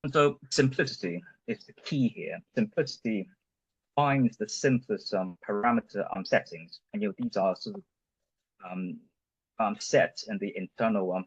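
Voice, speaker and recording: A man whose first language is Chinese, giving an academic conference talk over a video call, halting and filling pauses with lots of "um" and "you know". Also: fairly low pitch, husky, no echo, muffled recording. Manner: halting, heavy with fillers. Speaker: conference presenter. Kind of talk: academic lecture.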